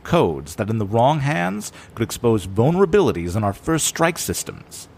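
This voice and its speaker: An actor playing a formal government agent with a dry, stiff manner in an audio drama. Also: male voice, mid-range voice, sing-song, normal speed, gravelly, a little mellow, slight background noise. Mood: grave, earnest.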